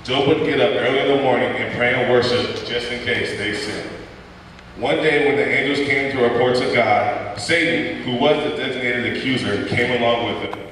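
A young man narrates a church skit in a steady, storytelling read-aloud manner. His voice carries through a large sanctuary with long, booming reverb. He sounds distant, picked up from across the hall with faint room noise. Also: normal speed. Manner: steady storytelling read-aloud, voicing quoted lines plainly. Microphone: stand mic at pulpit, heard from far off. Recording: echoey church hall from far off.

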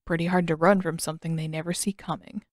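A woman voicing a calm, kindly older warrior man in an audio story, unhurried and avuncular. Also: deep voice, voice pushed down, lightly acted. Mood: amused.

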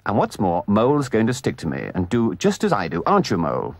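A man voicing a sceptical, stubborn river rat from a storybook, speaking in a measured, drily understated way. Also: on the low side, huge pitch swings, dry recording, heavily acted. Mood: dogged. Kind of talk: storybook character voice.

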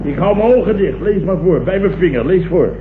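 An actor playing a pompous, blustering, self-satisfied boss who stammers and repeats himself in a vintage Dutch radio comedy. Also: male voice, high voice, lively intonation, fairly quick. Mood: pompous enthusiasm. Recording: muffled, echoey, hissy vintage radio recording.

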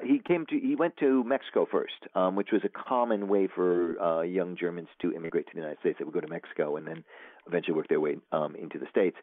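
A man, an author being interviewed, talking casually and rambling with many fillers and restarts. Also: lowish voice. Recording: tinny phone line.

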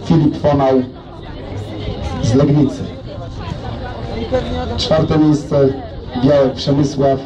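A man reading out race results and club names as announcer at an outdoor awards ceremony, brisk and matter-of-fact. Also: mid-range voice, level tone. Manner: brisk, lists names and clubs. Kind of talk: reading results over loudspeaker. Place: outdoor sports field. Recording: echoey loudspeaker from far off, crowd.

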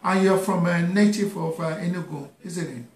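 Gravelly-voiced male radio host. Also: sits high, moderate pace, roomy sound. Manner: chatty, repeats words, laughs often. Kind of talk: live radio interview. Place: radio studio. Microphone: studio mic on boom arm.

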